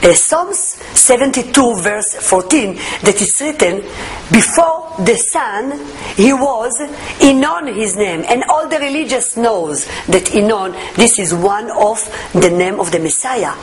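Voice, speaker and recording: Israeli woman, Hebrew her first tongue; earnest testimony in careful English, voice a bit high. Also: animated, unhurried, a little hiss or hum, poor audio. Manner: earnest, searching for words in English, emphatic. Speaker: testimony speaker. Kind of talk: personal faith testimony.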